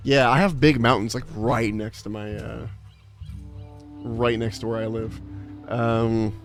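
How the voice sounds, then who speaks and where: A man's voice: mid-range voice, fairly even intonation, measured pace, soft background music. A man from California chatting casually on a live stream, reading chat aloud and laughing often. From Inland Empire, California, USA.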